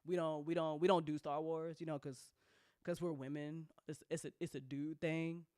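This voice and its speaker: A woman's voice: low voice, steady tone, normal speed, a little mellow, dry recording, studio quality. A woman hosting a casual update talk as an audio drama producer, speaking bluntly and informally with playful slang and free swearing.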